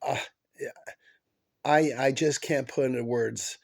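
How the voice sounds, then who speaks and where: A man hosting a casual sports podcast, talking with a stuffed-up head cold, halting with many fillers and restarts as an excited fan. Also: mid-range voice, vocal fry. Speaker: podcast host.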